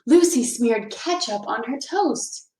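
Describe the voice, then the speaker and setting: A woman's voice: fairly high pitch, animated, slow, a little mellow. A woman teacher reading a picture book aloud and explaining things simply for young children. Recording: roomy, echoing indoor sound.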